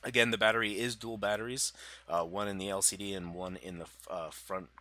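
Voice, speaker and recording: A deep-voiced man with a bright, forward edge to his tone, talking through hardware specs. He sounds congested from a cold and talks loosely, full of "uh"s and restarts. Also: fairly even intonation, fairly quick. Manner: loose, filler-heavy, self-correcting. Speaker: tech channel host. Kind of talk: casual tech explainer over slides.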